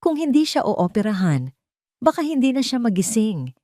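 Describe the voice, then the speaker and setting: An urgent male assistant played by a woman narrator in an audio drama. He is respectful and deferential, pitched up from the narration and recorded dry. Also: very animated, moderate pace, warm tone, no room sound. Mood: urgent, worried.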